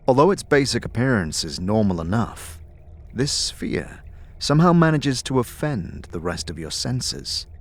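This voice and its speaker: A man giving scripted fantasy narration in the second person, as a measured, dramatic storyteller. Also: mid-range voice, big pitch swings.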